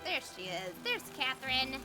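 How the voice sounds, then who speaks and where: A woman's voice: high-pitched, big pitch swings, moderate pace, low-quality recording. A woman livestreamer chatting casually and in a friendly way with viewers while she plays. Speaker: game livestreamer. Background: video game music.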